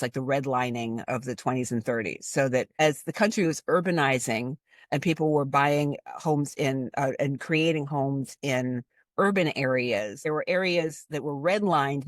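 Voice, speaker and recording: A woman in her fifties from Cleveland talks through ideas in a loose, conversational way. Her pitch jumps high on emphasized words. The recording is dry and close. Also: normal speed, no room sound. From Cleveland, Ohio. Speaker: guest expert. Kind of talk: casual conversational discussion.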